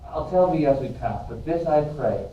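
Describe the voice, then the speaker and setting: A man's voice: on the high side, lively intonation, normal speed, lightly acted. A man playing a young, earnest, lovestruck nobleman in an amateur Shakespeare reading. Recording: very echoey, distant, dull, faint noise.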